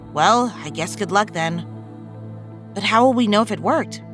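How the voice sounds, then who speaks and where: A woman's voice: mid-range voice, unhurried, over music, natural voice. A woman acting an earnest, idealistic young superhero in an audio drama, reflective and sincere. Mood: subdued.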